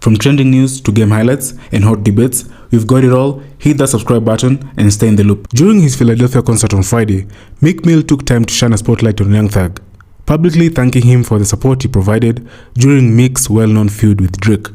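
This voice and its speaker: A man's voice: mid-range voice, fairly quick, mellow. A man reading scripted news narration as the narrator of a show.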